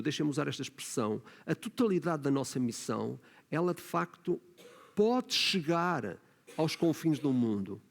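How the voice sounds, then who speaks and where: A man with a European Portuguese accent preaching a sermon as pastor, often adding tag questions and rising to emphatic points. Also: a little high. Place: church hall. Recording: long reverb of a church hall.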